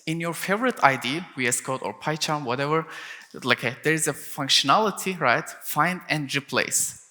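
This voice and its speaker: A man's voice: fairly high pitch, moderate pace, pushed and bright. A young man from Turkey, giving a technical conference talk in English, often linking ideas with "and then" and restarting phrases. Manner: frequent "and then" and restarts, non-native phrasing. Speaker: conference speaker. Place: conference hall. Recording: reverberant conference hall.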